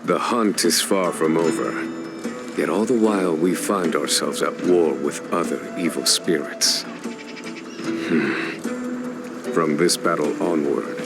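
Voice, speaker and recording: An actor playing a grave, commanding general who speaks in solemn, formal sentences. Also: male voice, a bass voice, full character voice. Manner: solemn, measured, formal speech. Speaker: military general of a starship. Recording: game dialogue over loud music.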